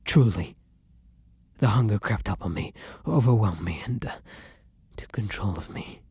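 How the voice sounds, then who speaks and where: A man playing a courtly, starving vampire lover in an ASMR roleplay, speaking formally and deliberately with dramatic pauses and frequent drops to a whisper. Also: fairly low pitch, lively intonation, darkish tone, no echo, heavily acted. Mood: remorseful, pleading. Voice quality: breathy, often dropping to a whisper. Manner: formal, archaic, endearment-laden, deliberate speech with dramatic pauses. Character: kind, courtly but starving vampire lover, turning predatory. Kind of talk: ASMR roleplay addressed to the listener.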